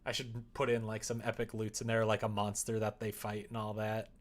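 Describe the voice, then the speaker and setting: A man's voice: fairly low pitch, completely flat delivery, a little fast. Rambling, hesitant man chatting on livestream. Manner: rambling, thinking aloud, hesitant. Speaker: livestreamer making a visual novel. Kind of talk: casual livestream chat while working. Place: indoors at home.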